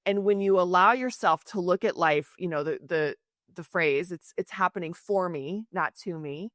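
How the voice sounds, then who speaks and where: A woman's voice: on the low side, moderate pace, a bit bright, no echo, clean studio sound. A woman hosting a podcast interview, talking in an earnest, emphatic and reflective way. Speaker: podcast host. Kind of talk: conversational interview.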